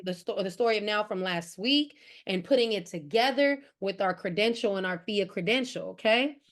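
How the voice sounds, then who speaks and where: High-voiced woman rallying a group. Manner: exhorting, often checks in with "right?". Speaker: organizer hosting a training session. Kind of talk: motivational group facilitation.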